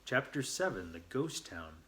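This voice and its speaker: A man's voice: on the low side, big pitch swings, unhurried, pushed and bright, a little hiss or hum. A man reading a children's story aloud in an earnest, unpolished way, stumbling now and then. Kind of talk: read-aloud narration.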